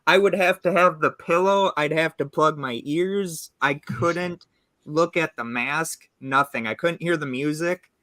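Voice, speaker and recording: A man in his twenties hosting a casual podcast chat, animated as he tells stories and shares trivia. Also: on the high side, measured pace, no room sound. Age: 20s. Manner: animated storyteller sharing trivia. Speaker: host.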